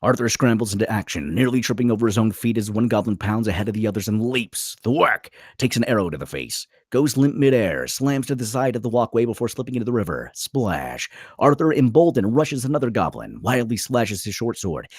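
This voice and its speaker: Casting: male narrator for screenplay read, lively pitch swings, husky, clipped dramatic phrasing. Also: brisk, few pauses, no room sound, studio quality. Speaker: narrator reading stage directions.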